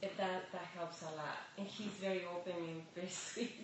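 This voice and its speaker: Woman group member, gentle, distant, reverberant room with noise. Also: fairly low pitch, fairly even intonation, brisk. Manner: soft, gentle, laughs lightly. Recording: distant, very echoey hall with noise.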